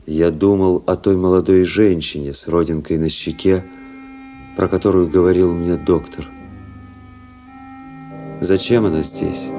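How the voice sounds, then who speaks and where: An actor playing a cynical, world-weary young aristocratic officer in a radio play, narrating in a measured, ironic literary manner. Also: male voice, sits low, mellow. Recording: radio play with faint music behind.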